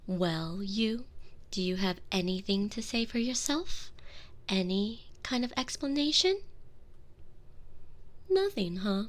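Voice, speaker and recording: A woman voicing a commanding, possessive female vampire mistress in a binaural ASMR audio roleplay, speaking slowly and domineeringly, often whispering close to the ear. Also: medium pitch. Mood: stern, scolding. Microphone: binaural microphone.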